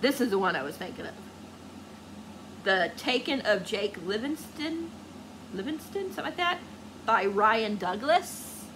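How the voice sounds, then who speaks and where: A woman's voice: on the high side, animated, measured pace, pauses often, a little hiss or hum, poor audio. A woman book vlogger chatting casually to viewers, gushing and rambling as she searches for words.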